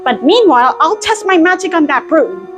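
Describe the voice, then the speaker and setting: A woman's voice: very high voice, very animated, normal speed. A woman voicing a playful, clumsy female cartoon mouse who is an apprentice sorceress, chatting to herself in a role-play video. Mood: chatty, musing. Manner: chatty, thinking aloud in short exclamations. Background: faint music.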